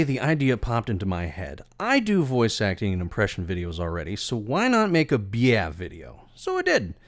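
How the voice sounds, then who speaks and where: Low-pitched man narrating, very dry sound. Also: no room sound. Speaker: video creator and voice actor. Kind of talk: scripted story narration.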